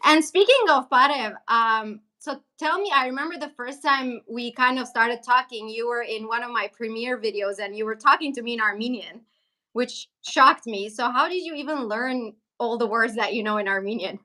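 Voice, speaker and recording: Bright, high-voiced woman chatting casually. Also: normal speed, low-quality recording. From Syria. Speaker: travel vlogger and livestream host. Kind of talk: casual livestream chat.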